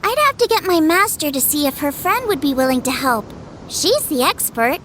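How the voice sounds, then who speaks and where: A practical, cheerful teenage girl from a video game. She has a high, lilting voice and explains things in an encouraging, matter-of-fact way. Faint ambience runs underneath. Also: sing-song, moderate pace, background noise. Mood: thoughtful. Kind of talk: animated video game acting.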